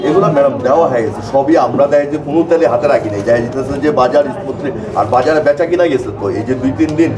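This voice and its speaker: A man's voice: mid-range voice, rapid-fire, hardly any pauses. A Bangladeshi man, an oil dealer, explaining himself deferentially and off the cuff to inspectors. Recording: echoey, distant, crowd around.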